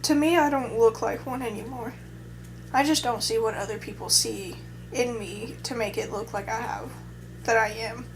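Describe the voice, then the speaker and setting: A young adult woman with a somewhat high voice, chatting casually to camera. She rambles and hesitates, restarting her sentences often. A faint hum sits under her voice. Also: normal speed, rough recording. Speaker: vlogger. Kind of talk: casual chat.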